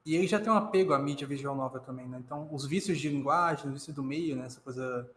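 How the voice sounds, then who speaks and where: A man's voice: medium pitch, quick pace, a bit bright. A Brazilian man chatting casually as a co-host reacting to a video. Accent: Brazilian Portuguese. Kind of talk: casual chat. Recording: echoey room, faint noise.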